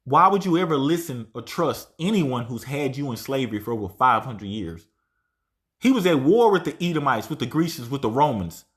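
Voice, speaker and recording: A man with a notably high voice delivers a loose, conversational Bible lesson. He drives his points home emphatically and keeps asking "right?" as he goes. When he reads scripture, he slows right down. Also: a bit bright. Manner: emphatic, tags lines with "right?"; reads verses aloud slowly. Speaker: Bible teacher. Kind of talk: informal scripture teaching.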